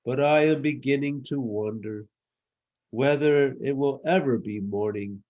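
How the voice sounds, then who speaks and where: A lonely moon elephant from a children's tale, performed by a man. The speech is slow, sad and wistful, and the recording is slightly muffled. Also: mid-range voice, darkish tone, pitched above the performer's own voice, close to an everyday voice.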